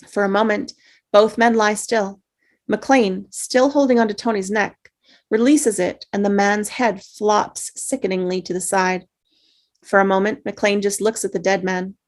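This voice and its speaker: A woman's voice: mid-range voice, steady tone, measured pace. A woman narrator reading screenplay action and scene headings, sometimes stumbling and rereading phrases. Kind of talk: scripted narration, reading stage directions.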